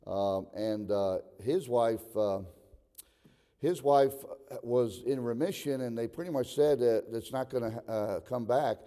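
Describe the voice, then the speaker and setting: A man's voice: medium pitch, unhurried. Older male preacher in a reverberant hall. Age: 60s. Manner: emphatic, swings from conversational to shouted exhortation. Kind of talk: sermon. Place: large reverberant room, preaching to a congregation.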